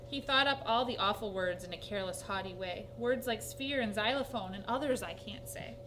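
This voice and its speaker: A woman with a fairly high voice reads a rhyming children's story expressively. Her delivery is theatrical and animated. The recording is noisy, with a clear hum behind her. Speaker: storybook reader.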